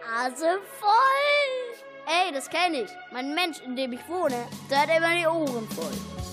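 A woman playing an excitable, chummy earworm who exclaims, in a children's radio play. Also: very high voice, slow, music in the background, exaggerated voice. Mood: excited, complaining. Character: excitable earworm living in a person's ear.

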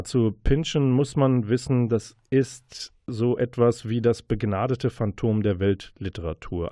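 A man's voice: on the low side, mellow, dry recording, clean studio sound. A man hosting a book programme, giving an informal, conversational and explanatory spoken review.

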